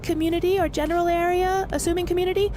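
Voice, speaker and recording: Girl helping on a stream, really high voice, quick casual replies, super noisy audio. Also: level tone. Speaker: stream helper. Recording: very noisy, clicking voice chat.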